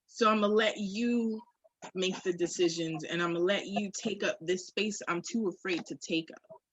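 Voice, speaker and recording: A woman's voice: medium pitch, moderate pace, slightly rough, no echo, rough recording. A woman talking candidly on a podcast in an emphatic, slangy way, with swearing.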